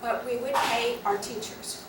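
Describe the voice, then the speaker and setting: A woman's voice: a little high, a little slow. A woman officer laying out upcoming class plans at a guild meeting in a practical, explanatory way. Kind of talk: announcements at a club meeting. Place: meeting room. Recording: echoey room, distant mic, noisy.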